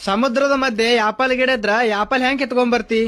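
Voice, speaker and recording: A man's voice: very high voice, steady tone, fast talker, bright, forceful tone. A man voicing a scornful schoolteacher in a cartoon skit, with a North Karnataka Kannada accent. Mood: mocking. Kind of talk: animated comedy skit dialogue.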